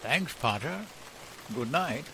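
A man's voice: medium pitch, animated, slow, noisy background. An actor playing a well-to-do, condescending man about town as a cab passenger in an old-time radio drama. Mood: genteel, casual.

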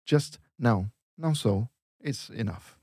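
A man teaches Portuguese, patiently walking through each point with examples. His voice sits at a medium pitch. The recording is dry and close, with no room sound. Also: expressive, a little slow, a little mellow, slightly rough, no echo. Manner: patient, explains step by step with examples. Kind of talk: language lesson dialogue.

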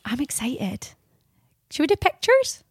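Brief: woman, Liverpool accent, high lively voice, giggly. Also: measured pace, breathy edge, dry recording, studio quality. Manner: giggly, excitable. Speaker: podcast co-host. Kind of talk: casual chat.

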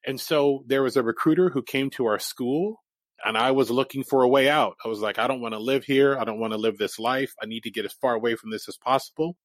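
A man's voice: mid-range voice, brisk, no room sound. Candid man telling his life story. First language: Japanese. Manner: candid, reflective, laughs easily. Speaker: guest. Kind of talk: casual interview storytelling.

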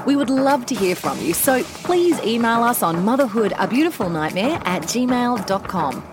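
A woman announcer reading a scripted podcast outro in a clear, even read. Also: fairly high pitch, normal speed. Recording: voice over music.

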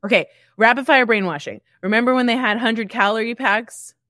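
A woman's voice: a little high, brisk, pushed and bright, no room sound, studio quality. A woman co-hosting a podcast, chatting casually in a blunt, wry and playful way. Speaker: podcast co-host. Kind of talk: casual chat.

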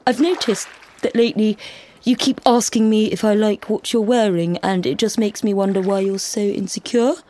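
A woman's voice: medium pitch, fairly even intonation, normal speed, dark tone, slight background noise, natural voice. A woman voicing a moody young man who drinks tears, whiny and manipulative in a story reading. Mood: smug. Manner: whiny, self-pitying, manipulative.

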